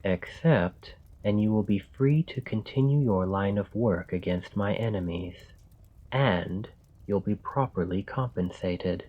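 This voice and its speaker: A man playing a calm, calculating royal in an ASMR roleplay, speaking in a measured, formal and deliberate way. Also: on the low side, breathy edge, highs cut off. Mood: bargaining, earnest. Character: calm, calculating male royal bargaining with his assassin. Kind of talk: ASMR roleplay, scripted dramatic monologue.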